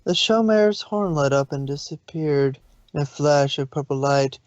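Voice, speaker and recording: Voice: medium pitch, unhurried, no room sound. A narrator reading a fan fiction story aloud in an amateur production. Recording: dull, lo-fi home recording.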